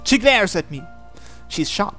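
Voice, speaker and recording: A man's voice: high voice, big pitch swings, unhurried, pushed and bright, faint music behind. A man hosting a game video, reading visual novel text aloud and adding his own asides. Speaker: gaming video host.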